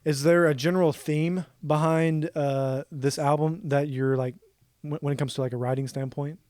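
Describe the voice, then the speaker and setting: A podcast host, a man asking questions in a halting way with fillers. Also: a little high, steady tone, a little mellow, no echo, clean studio sound. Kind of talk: casual podcast interview.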